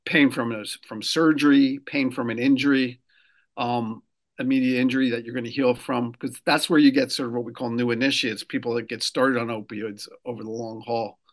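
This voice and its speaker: A man from New Jersey, a political consultant, explaining a law in a radio interview, pausing often with fillers and restarts. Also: mid-range voice, steady tone. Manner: measured, many fillers and restarts. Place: lives in Rhode Island.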